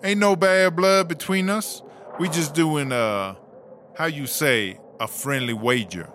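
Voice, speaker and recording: Man playing sassy, slow-drawling female prisoner. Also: a little high, noisy background. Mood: sassy, playful. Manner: slangy, drawling, exclamatory, sassy. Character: sassy, loud, bossy female prisoner Chartreuse, playful and streetwise. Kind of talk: comedy audio drama acting.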